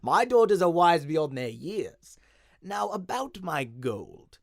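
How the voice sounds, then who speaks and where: A man's voice: fairly high pitch, sing-song, slow, no echo, clean studio sound, voice pushed up, exaggerated voice. Guy doing a chatty plump storybook king, easygoing but a bit nervous, voice bouncing around. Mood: genial, amused.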